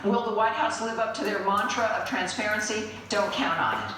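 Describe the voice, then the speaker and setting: A woman's voice: medium pitch, fairly quick, a bit bright, far from the mic, a little hiss or hum. Very echoey, indignant American woman host. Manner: emphatic, accusatory, indignant. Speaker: political talk show host. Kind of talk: scripted opinion monologue.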